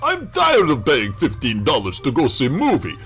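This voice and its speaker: An actor playing a campy, scheming Russian villain with a grievance, bombastic in broken English, in an old-radio parody. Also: male voice, medium pitch, wildly swooping pitch, moderate pace, fairly bright tone, soft background music. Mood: aggrieved ranting.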